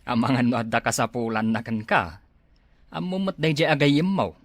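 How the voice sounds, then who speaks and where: A man's voice: medium pitch, moderate pace, dry recording, lightly acted. A man acting an inquisitive, gossiping coworker in an Ilocano comedy drama, with an Ilocano Filipino accent. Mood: curious.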